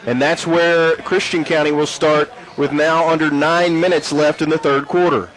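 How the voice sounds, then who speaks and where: A man calling a high school football game play by play from the stadium booth. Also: normal speed, low-quality recording. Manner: rising excitement on big plays. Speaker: play-by-play announcer. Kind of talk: sports play-by-play commentary. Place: press box at an outdoor stadium.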